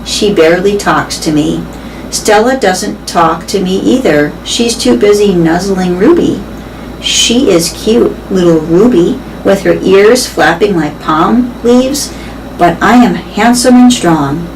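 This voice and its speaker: A woman with a mid-pitched voice reads a children's novel aloud. She narrates it as a storyteller. There is faint noise and room ambience behind her. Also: slow, recorded from a distance. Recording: clear background noise.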